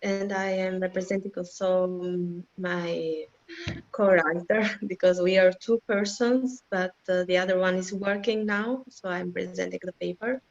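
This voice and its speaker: A woman from Albania introducing herself as a paper presenter, a little apologetic and quick to laugh. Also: fairly low pitch, fairly even intonation. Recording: dull lo-fi video call audio.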